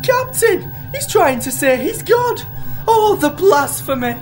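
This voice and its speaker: A woman's voice: very high voice, big pitch swings, slow, a little mellow, soft background music, full character voice. A woman playing a prim, pious spaceship communications officer in a comedy sci-fi radio play. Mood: shocked.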